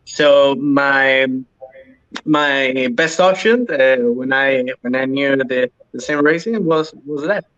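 A man from Spain with a Spanish accent, a podcast guest answering interview questions in halting English with many restarts. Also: medium pitch, a bit bright, highs cut off. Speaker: podcast guest, sim racing driver.

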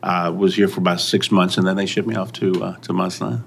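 An older man who grew up in Mexico, telling his story in a relaxed interview, halting and often trailing off. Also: sits low, creaky, slight background noise. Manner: halting, many pauses and restarts, soft trailing phrases. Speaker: restaurant owner. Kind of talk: casual interview.

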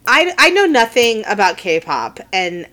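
Giggly bright-voiced woman with a Southern accent. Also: mid-range voice, unhurried. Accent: Southern American. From Southern United States. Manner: giggly, animated, teasing. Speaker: podcast co-host. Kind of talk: casual chat.

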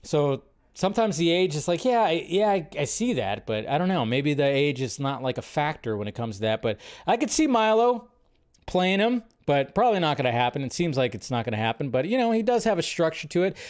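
A man with a slightly high voice hosts a casual live entertainment show. He rambles conversationally and thinks out loud, circling back over his own phrases as he goes. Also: big pitch swings, brisk.

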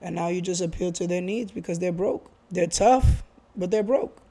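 A man's voice: high voice, normal speed, mellow. A man, talking earnestly in a casual conversation, often restarting and repeating phrases. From Bronx, New York. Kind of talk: casual chat.

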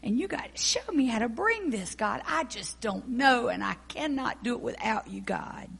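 A woman's voice: on the high side, expressive, moderate pace, creaky, fairly bright tone, husky, full character voice. A woman playing a frustrated church singing teacher who prays aloud with emotional pleading, in a folksy dialect. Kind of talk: acted drama scene.

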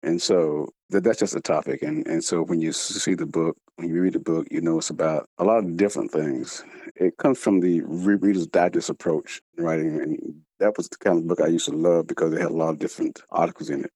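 A man speaking as a guest author in a reflective interview, earnest and halting, with a slight speech impediment that makes him repeat words. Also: low-pitched, fairly even intonation, warm tone, no room sound, low-quality recording. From United States.

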